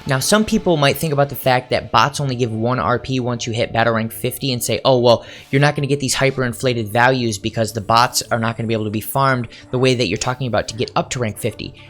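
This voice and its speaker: A man's voice: medium pitch, fast, few pauses, fairly bright tone. A man talking as a gaming YouTuber, explaining and advising viewers directly in a conversational commentary style. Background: background music.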